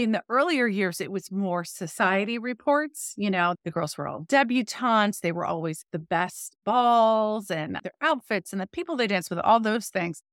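A woman author being interviewed, explaining in a conversational, expressive, emphatic storytelling way. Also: mid-range voice, dry recording, studio quality.

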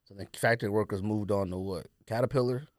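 A man's voice: on the low side, fast talker, no room sound, clean studio sound. A man co-hosting a podcast in casual banter, slangy and playful with exclamations. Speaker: podcast co-host.

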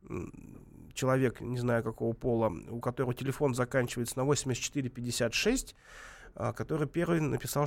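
Halting male radio host with a cold. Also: medium pitch. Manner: halting, many filler sounds. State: has a cold. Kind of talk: live radio interview. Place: radio studio.

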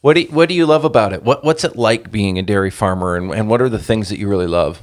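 A man's voice: on the low side, quick pace, no echo, clean studio sound. A man hosting a podcast, introducing a guest and asking plain, friendly questions. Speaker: podcast host.

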